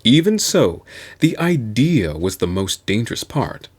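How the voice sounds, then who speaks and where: A man reading a science fiction novel aloud as host, with a theatrical, deliberate delivery. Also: on the low side, sing-song, breathy edge.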